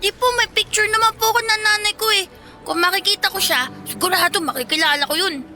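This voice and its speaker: A woman's voice: very high voice, fast, sharp tone, faint music behind, full character voice. A woman with a Filipino accent playing an earnest, polite orphaned runaway boy in a radio drama, with a childlike voice. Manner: polite, deferential.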